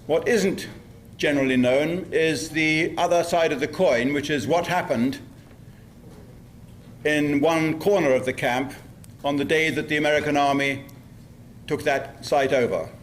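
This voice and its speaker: A man acting as host, giving a formal, measured introduction of a speaker. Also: on the high side, a little hiss or hum.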